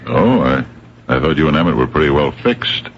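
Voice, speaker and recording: A man playing a patient, shrewd police captain in an old-time radio drama, very deep and husky, speaking hesitantly and courteously but with quiet insistence. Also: big pitch swings, moderate pace, a little hiss or hum, heavily acted. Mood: awkwardly friendly. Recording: dull, muffled vintage radio recording.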